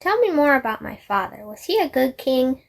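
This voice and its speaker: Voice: high-pitched, sing-song, moderate pace, creaky, full character voice. A young-sounding performer playing a timid, innocent boy king of about seven in an audio drama. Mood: curious, trusting. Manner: hesitant, simple, childlike.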